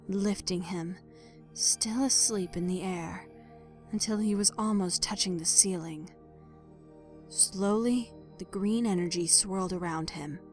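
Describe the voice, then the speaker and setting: A woman reading a scripted dramatic story as narrator, measured and expressive in her delivery. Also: fairly low pitch.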